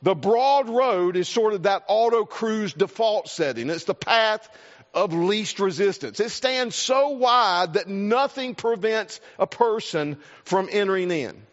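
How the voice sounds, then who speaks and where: A man with a South Carolina accent preaches in a folksy, earnest way, and his voice sits high for a man. He often repeats words as he goes. The sound is dull and lo-fi, with a long reverb from a large hall. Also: lively intonation, measured pace. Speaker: pastor. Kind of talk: sermon with a personal story.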